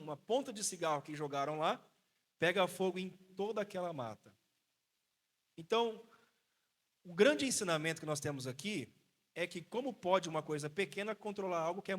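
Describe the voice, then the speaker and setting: Higher-voiced preacher teaching. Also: male voice, a bit bright. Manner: explanatory, asks rhetorical questions, retells a parable. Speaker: preacher leading a Bible study. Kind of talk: Bible study teaching with storytelling.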